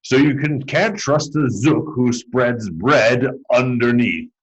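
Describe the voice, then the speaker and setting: A man's voice: mid-range voice, slow pace, breathy edge, lo-fi sound. A man voicing a very old, earnest and indignant grandfather in a storybook, grave and emphatic.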